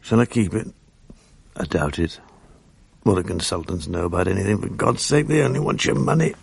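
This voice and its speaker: A man's voice: low voice, animated, fairly quick, gravelly, breathy edge, no echo, exaggerated voice. An actor in his fifties with a British accent playing a bereaved, sleepless writer who narrates his days in a dry, self-mocking, gloomy way in a radio drama. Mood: weary. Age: 50s. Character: weary bereaved middle-aged writer, sleepless and bitter.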